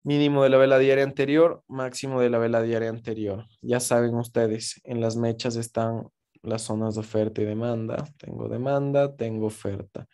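A man's voice: medium pitch, flat delivery, normal speed, warm tone. A man teaching forex trading over a screen recording, in a casual explanatory way with frequent check-ins to viewers. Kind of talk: screen-share trading lesson.

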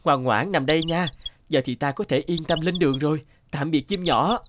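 A man voicing a devoted, kindhearted young fiddler in a fairy-tale cartoon, earnest and expressive. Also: fairly high pitch, brisk, heavy background noise, close to an everyday voice. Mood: tender, cheerful. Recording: music under the voice.

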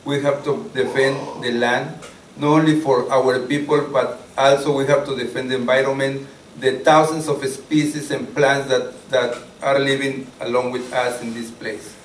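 A man's voice: medium pitch, flat delivery, moderate pace. A man in his forties from Mexico City, a native Spanish speaker with a Mexican accent, moderating a public meeting from a lectern. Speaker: event moderator, activist. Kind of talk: informal public speech, partly read introduction. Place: wood-panelled community hall, at a lectern. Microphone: mic on stand. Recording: echoey hall, distant mic, faint noise.

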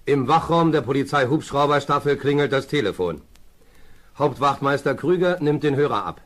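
A man narrating a scripted children's radio play in a measured, dramatic storytelling manner. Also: medium pitch, expressive. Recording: old radio play, background noise.

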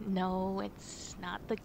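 A woman's voice: medium pitch, little pitch movement, drawn-out words, creaky, noisy background. A woman playing a nervous, twitchy wolf girlfriend in an ASMR roleplay, stammering and hesitant as she hides something. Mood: nervous, evasive.

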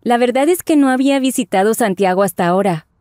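A woman's voice: on the high side, animated, fast talker, darkish tone, dry recording, clean studio sound, close to an everyday voice. Woman voicing Isabella, a friendly young traveler. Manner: clear careful enunciation. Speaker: voice actor in language lesson dialogue. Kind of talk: scripted slow and normal-speed lesson dialogue.

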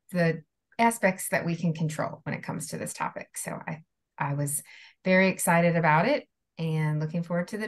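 Low, soothing-voiced woman. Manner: calm, soothing. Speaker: panelist, college staff trainer. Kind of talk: casual panel discussion. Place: home room, video call. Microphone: webcam or computer mic on video call.

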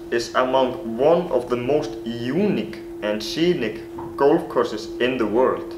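Teen guy reading his recruit pitch, kinda stiff and rehearsed, roomy echo and hiss behind him. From Iceland. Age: late teens. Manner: earnest, rehearsed delivery. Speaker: golf recruit. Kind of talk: scripted self-introduction to coaches. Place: small plain room indoors.

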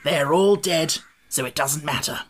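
A woman voicing a cheerful, pompous male scarecrow king in a storybook, with a bright and grandly reassuring manner. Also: sits low, a little slow, breathy edge. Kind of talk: audiobook character voice.

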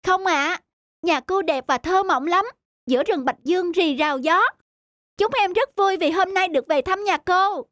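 An actress playing an eager, polite young student in a cartoon, speaking earnestly. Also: female voice, extremely high pitch, normal speed, a bit bright, dry recording, clean studio sound. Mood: delighted, polite. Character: eager, polite young photography students visiting their teacher. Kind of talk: animated moral-tale dubbing. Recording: clear music behind the voice.

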